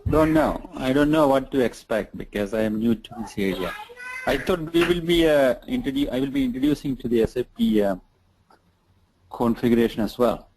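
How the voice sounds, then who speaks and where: A man's voice: medium pitch, animated, moderate pace, a little mellow, a little hiss or hum, poor audio. A man from Kerala with an Indian English accent, a new trainee who politely asks questions and sometimes restarts his sentences. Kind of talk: casual conversation.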